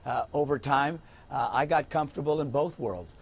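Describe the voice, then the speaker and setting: A man who is a mayor, speaking in a measured way with frequent hesitant pauses on a TV panel. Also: mid-range voice, vocal fry, husky. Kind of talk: TV panel discussion.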